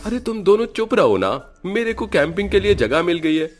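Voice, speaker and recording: A man's voice: sits high, very animated, moderate pace, faint music behind, pitched above the performer's own voice. A man voicing a bold, cocky young camper in a horror story, slangy and bossy. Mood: excited.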